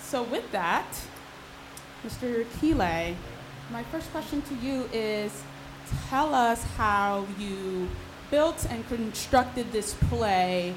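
A woman's voice: a little high, expressive, slow pace. Woman interviewer in a noisy room. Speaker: interviewer introducing a playwright. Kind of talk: casual live interview.

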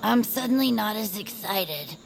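An actress voicing a young bird who is a devoted fan, speaking in a hesitant, wistful way. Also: female voice, medium pitch, lively intonation, normal speed, creaky, rough recording. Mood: disappointed. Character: young bird fan, let down by her hero. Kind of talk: audio drama acting.

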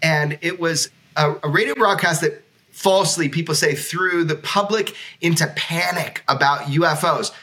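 A male podcast host with a fairly high, sharp, forward voice. He talks casually and excitably, putting emphasis on his points in an informal way. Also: moderate pace. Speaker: talk show host. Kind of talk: enthusiastic casual podcast talk.

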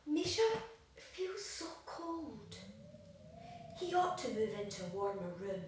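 A woman's voice: mid-range voice, big pitch swings, slow. A woman playing an anxious, controlling young mother and wife in an audio play, insistent and fussing. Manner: insistent, nagging, doting on her baby. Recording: very echoey room, distant, faint hiss.

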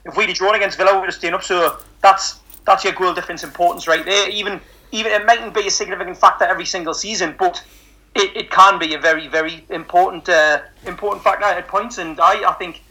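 A man's voice: sits high, steady tone, fast, pushed and bright, poor audio. A man with a Geordie accent on a football podcast, cutting in eagerly and backing up his point with numbers. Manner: jumps in eagerly, argues his case with figures. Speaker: podcast co-host. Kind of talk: casual football podcast chat.

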